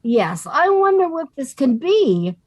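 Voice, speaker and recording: A sardonic, level-headed young woman, voiced by a woman who answers with quick, dry wisecracks. Her voice sits a little high and sounds dry and close. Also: big pitch swings, a little mellow, no room sound. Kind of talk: radio comedy acting.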